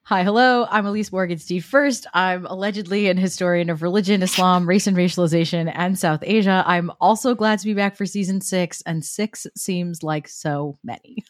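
A woman's voice: on the low side, normal speed, few pauses, no room sound, clean studio sound. A woman with a New Jersey accent co-hosting a podcast, chatting in a wry, self-deprecating way. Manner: wry, self-deprecating, laughs at own jokes. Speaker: podcast co-host. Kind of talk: casual chat.

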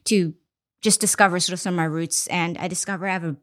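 A woman investor giving a candid, reflective podcast interview, often pausing with ums. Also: fairly low pitch, fairly even intonation, a bit bright. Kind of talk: conversational interview. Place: studio interview.

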